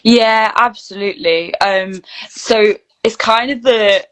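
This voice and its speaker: A woman's voice: a little high, slow, fairly bright tone, poor audio. A woman chats casually as an interview guest, telling anecdotes and often saying "like". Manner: chatty, anecdotal, peppered with "like". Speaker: guest, actor and voice reel producer.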